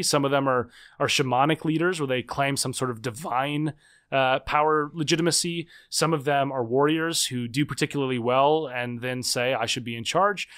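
A man's voice: a little high, fairly bright tone. A man in his thirties talking as a podcast guest, thoughtful and hedging, with frequent fillers and restarts. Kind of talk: conversational interview.

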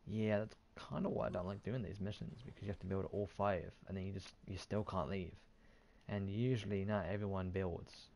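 A man's voice: sits low, steady tone, fairly quick, a little mellow. A man giving casual, sweary commentary on his gameplay, often muttering to himself over video game sounds. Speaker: gaming video host. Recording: clear background game noise.

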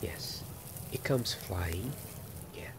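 A man playing a kindly, attentive innkeeper in an ASMR fantasy roleplay, speaking softly and gently, often dropping to a breathy whisper. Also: low-pitched, expressive, full character voice. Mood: gentle, soothing. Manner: gentle, soothing, unhurried. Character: kindly, attentive male innkeeper of a wizarding pub. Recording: background ambience noise under close voice.

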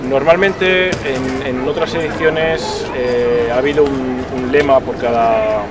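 A man in his forties, an event organizer, explaining an event in a relaxed, hesitant way during an outdoor street interview. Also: medium pitch, slow pace. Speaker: photo association organizer. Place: city square outdoors. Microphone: camera mic from a distance. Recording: distant mic, loud street noise.

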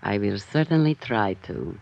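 A coy, composed young femme fatale. Her voice is breathy and expressive, evasive in the 1950s crime drama way, and the old recording is muffled. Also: very deep voice, a little slow, heavily acted. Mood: coy, inviting. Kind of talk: 1950s radio drama acting. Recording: dull old radio recording.

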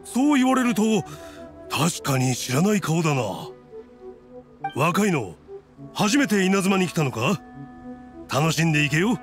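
An actor playing a hearty middle-aged festival vendor in a video game, with rough, colloquial speech. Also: male voice, high-pitched, big pitch swings, a little slow, pauses often. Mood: friendly. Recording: background game music.

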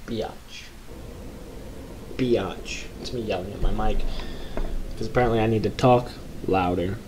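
A young man with a low voice commentating a horror game from his bedroom, joking with mock bravado and reading the game's text aloud. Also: a little slow. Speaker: gaming YouTuber. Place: small bedroom, indoors. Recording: noisy home recording.

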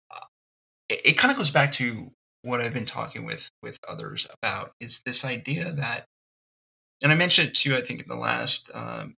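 A man hosting a solo podcast, thinking out loud in a thoughtful, halting way with many ums and restarts. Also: medium pitch, creaky, lo-fi sound. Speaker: podcast host. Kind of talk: reflective solo podcast monologue.

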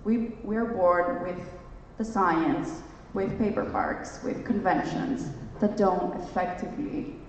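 A young woman from Greece talks earnestly on a conference stage, reaching for her words and often starting phrases over. Her slightly low voice carries through a reverberant hotel ballroom, picked up from a distance. The sound is dull and a little muffled. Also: takes it slowly, hardly any pauses, slight background noise. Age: young adult. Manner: earnest, searching for words with frequent restarts. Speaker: conference speaker. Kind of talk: onstage dialogue, reflective.

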